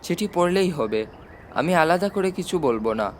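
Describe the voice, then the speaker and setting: A man's voice: fairly high pitch, lively intonation, moderate pace, a little hiss or hum, natural voice. A man voicing a respectful, caring young woman in a storybook reading, with a polite, earnest manner.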